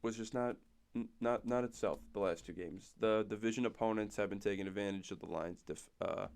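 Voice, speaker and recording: A young man, hoarse and nasal from a cold, co-hosting a casual podcast and rattling off game stats. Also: fairly low pitch, a little fast, darkish tone. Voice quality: raspy, nasal. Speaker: podcast co-host. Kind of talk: casual sports chat.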